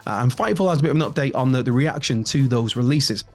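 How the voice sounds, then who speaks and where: A man's voice: medium pitch, fast talker, darkish tone, soft background music. A man, presenting a casual wrestling news rundown in a chatty, rambling way. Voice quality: raspy. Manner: chatty, rambling, with frequent restarts and laughs.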